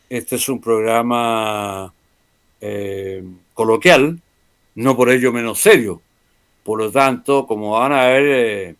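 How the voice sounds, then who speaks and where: A man hosting a talk show, speaking in a formal, measured way. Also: mid-range voice. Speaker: host. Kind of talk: talk show hosting and reading sponsor ads.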